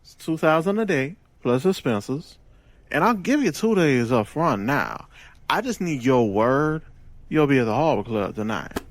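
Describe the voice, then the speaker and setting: An actor playing a smooth gangster boss in an audio drama, with a deliberate, self-assured, persuasive delivery and sly wit. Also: male voice, mid-range voice, lively intonation, no room sound, lightly acted. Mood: smug, businesslike.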